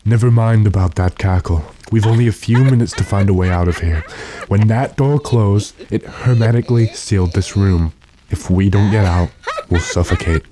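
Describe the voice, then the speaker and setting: Man playing a low, mellow, commanding gentleman hero. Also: normal speed, a little hiss or hum, lightly acted. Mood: urgent. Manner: commanding, earnest, dramatic. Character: urgent, determined gentleman trapped with his manservant. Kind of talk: old-time radio drama acting.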